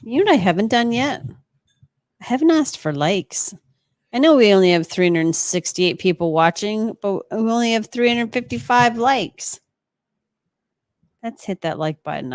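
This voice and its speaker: A woman co-hosting a live stream, reading out viewer chat and bantering casually in a playful, teasing way. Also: on the high side.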